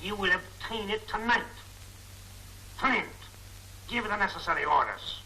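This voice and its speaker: An actor playing an imperious villainous crime boss giving deliberate orders in a 1940s movie serial. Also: male voice, high-pitched, expressive, creaky, bright, forceful tone, full character voice, rough recording. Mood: cold, commanding. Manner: slow, imperious, deliberate commands. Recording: old 1940s film soundtrack, faint noise.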